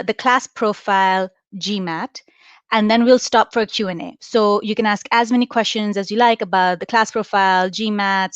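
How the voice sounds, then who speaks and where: A woman's voice: medium pitch, clean tone, no echo, dull audio. A woman in her thirties from India giving a live admissions webinar, upbeat and chatty, often checking in with "right?". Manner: upbeat, interactive, explaining with frequent "right?". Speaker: admissions director.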